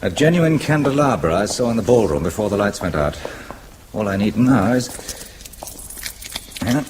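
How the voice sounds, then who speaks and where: Man acting as British amateur sleuth, low-pitched, animated, dry and clipped, radio drama, slightly noisy recording. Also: moderate pace, lightly acted. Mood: tense, urgent. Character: resourceful, wry British amateur sleuth, husband.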